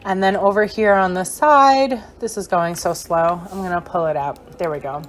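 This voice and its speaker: A young woman with a hoarse, fading voice, giving a chatty, rambling gameplay tour voice-over with many fillers. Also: on the low side, moderate pace, a little hiss or hum. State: hoarse, losing her voice. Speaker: gaming creator.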